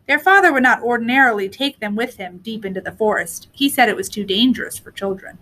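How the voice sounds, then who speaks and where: A woman narrating a scripted storybook tale as a reader, with deliberate, expressive phrasing. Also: a little high, slight background noise.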